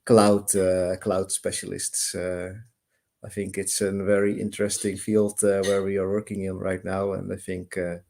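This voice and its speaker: A man's voice: low voice, completely flat delivery, a little slow, creaky, a little mellow. A man from the Netherlands, a freelancer, introducing himself on a video call with frequent fillers. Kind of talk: casual self-introduction.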